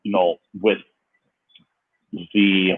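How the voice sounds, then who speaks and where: Deep husky man on a tinny call line. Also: flat delivery, very slow. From Barbados. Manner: thoughtful, hesitant, many restarts. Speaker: guest chef. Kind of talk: interview answers. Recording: tinny phone-like call audio.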